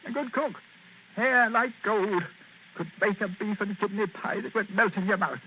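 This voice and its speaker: A man performs a grasping old miser, whining and self-pitying over his losses. The voice sits high. It comes through a thin, phone-like old radio recording with faint hiss. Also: normal speed, natural voice. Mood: reminiscing. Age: older. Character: miserly old male retired colourman, whining. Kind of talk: 1940s radio acting.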